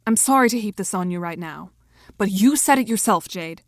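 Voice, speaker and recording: A woman playing an articulate, urgent teenage girl in an audio drama, speaking in a serious, insistent, brisk manner. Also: mid-range voice, animated, no echo, clean studio sound, lightly acted.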